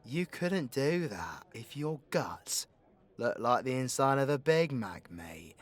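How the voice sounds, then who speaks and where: A man's voice: medium pitch, big pitch swings, slow. A British man playing a foul-mouthed, hot-tempered supervillain antihero in an audio drama, with a brash, sarcastic, slangy delivery. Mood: menacing, defiant. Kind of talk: audio roleplay voice acting.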